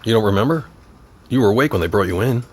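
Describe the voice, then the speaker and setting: A middle-aged man playing a gruff, wry father with authority, questioning pointedly in an audio drama. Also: lowish voice, animated, quick pace, darkish tone. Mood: wry, concerned. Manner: wry, probing. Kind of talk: naturalistic audio drama acting.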